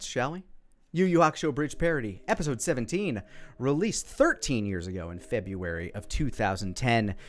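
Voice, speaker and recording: American guy in his 30s just rambling through casual commentary, cuts himself off, mid pitch, music playing behind him. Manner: rambling, self-interrupting. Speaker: video creator. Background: background music.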